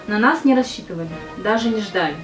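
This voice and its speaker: A woman's voice: medium pitch, lively intonation, fast talker, lightly acted. A woman in an audio play acting a practical, dutiful young female soldier with a matter-of-fact delivery. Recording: roomy echo, music behind.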